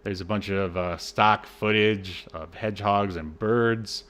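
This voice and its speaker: A man co-hosting a podcast, casually reviewing a film in a halting, wryly exasperated way. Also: on the low side, fairly even intonation, fairly bright tone. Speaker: podcast co-host.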